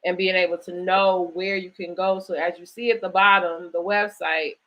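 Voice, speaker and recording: A woman's voice: fairly low pitch, level tone, moderate pace, dull audio. A woman hosting a conversational live online interview, speaking in an encouraging, affirming way. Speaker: host interviewing an author.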